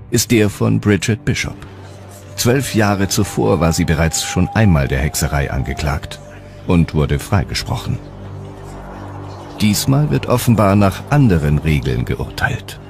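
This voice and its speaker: Very deep male documentary narrator. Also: big pitch swings, measured pace, creaky, darkish tone. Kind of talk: scripted historical narration. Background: music.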